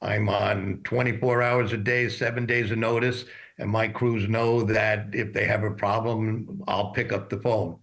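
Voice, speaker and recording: A mature man with a fairly low voice speaks as an industry expert in a news interview. He explains things matter-of-factly, pausing and feeling his way through unscripted answers. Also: little pitch movement, vocal fry, a bit bright. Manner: halting, explanatory, matter-of-fact. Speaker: shipping executive as expert guest.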